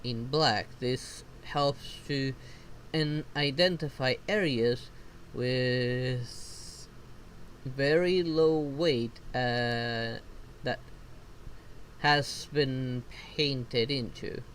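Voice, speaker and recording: A man whose first language is not English, reading software documentation aloud slowly and haltingly and breaking off for casual asides. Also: mid-range voice, slight background noise. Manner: slow halting reading with self-interrupting asides. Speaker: vtuber streamer. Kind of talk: reading software docs aloud while learning.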